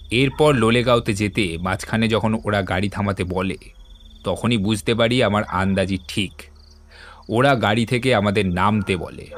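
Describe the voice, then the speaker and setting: An actor playing a composed, shrewd male detective in an audio drama, speaking in a measured, deliberate way. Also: lowish voice, natural voice.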